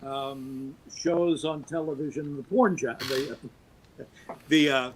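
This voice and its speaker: A man's voice: takes it slowly, slight background noise, poor audio. A middle-aged man, a podcast guest, holding forth at length with emphatic, swooping delivery. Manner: emphatic, wide pitch swings, talks at length. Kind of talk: podcast interview, opinionated monologue.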